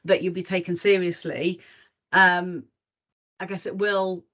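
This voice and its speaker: A woman's voice: lowish voice, level tone, measured pace, fairly bright tone. Reflective woman leading a panel. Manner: reflective, ends points with tag questions. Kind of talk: casual panel discussion.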